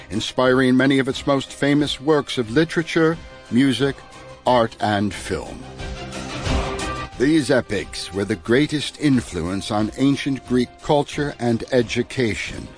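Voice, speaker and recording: A man reading a scripted literary introduction as an audiobook narrator. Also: medium pitch.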